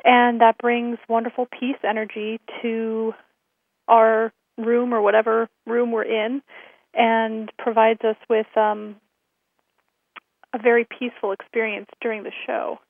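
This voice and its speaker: A woman hosting a radio show, hoarse from a cold, giving friendly announcements with many fillers. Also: on the high side, little pitch movement, unhurried, dry recording. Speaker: host. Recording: thin phone-line sound.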